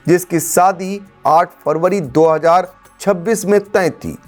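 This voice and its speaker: News narrator over background music. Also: medium pitch. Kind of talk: scripted crime news narration.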